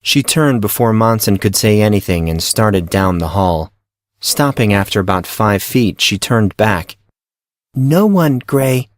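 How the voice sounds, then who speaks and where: A man's voice: lowish voice, unhurried, no room sound, clean studio sound. A man reading scripted fiction as the narrator of a story.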